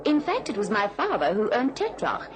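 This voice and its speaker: Brief: arch society racehorse owner, slyly vengeful, very expressive pitch, radio play. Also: female voice, normal speed. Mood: arch, cutting. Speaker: actor. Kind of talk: radio drama acting.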